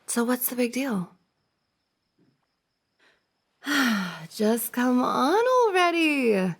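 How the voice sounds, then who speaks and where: A woman's voice: on the high side, animated, slow pace, gravelly, exaggerated voice. A woman playing a playful, teasing young woman, a best friend's older sister, in a giggly, casual ASMR roleplay. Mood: playful reassuring, then mock exasperated. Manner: giggly, teasing banter with frequent soft laughs.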